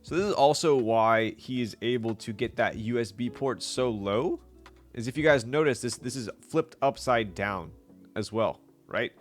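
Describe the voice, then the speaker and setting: Stuffy-nosed male streamer at mid pitch with faint music behind him, relaxed and chatty with his viewers. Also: moderate pace. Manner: chatty, greets viewers, laughs often. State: congested, stuffy nose. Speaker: live streamer building a keyboard. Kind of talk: casual live-stream chat.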